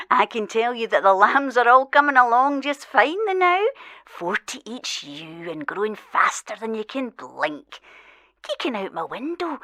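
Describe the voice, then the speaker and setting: A woman's voice: a little high, pushed and bright, dry recording, clean studio sound, heavily acted. An actress playing a chatty, homely elderly island woman with a Scottish accent in an audio drama. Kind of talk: audio drama acting, phone-in interview.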